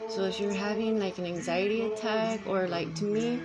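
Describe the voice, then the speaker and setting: A mother chats to her sons in a loose, rambling way, circling back to her memories as she talks. Loud music plays behind her the whole time. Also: medium pitch, steady tone, normal speed. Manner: chatty, rambling, talks while playing cards. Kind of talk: casual chat.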